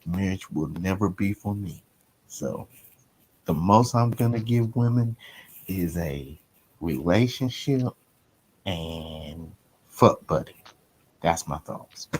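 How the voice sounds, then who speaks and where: A man with a very low, husky voice gives his opinion as a panel guest. He talks slowly and bluntly, in short flat statements. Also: rough recording. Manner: blunt, declarative. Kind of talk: casual opinion talk.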